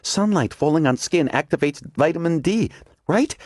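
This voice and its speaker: A man's voice: on the high side, lively intonation, moderate pace, a little mellow, dry recording, clean studio sound, voice pushed up. A man voicing a determined young woman scientist who talks breathless and insistent. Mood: explaining.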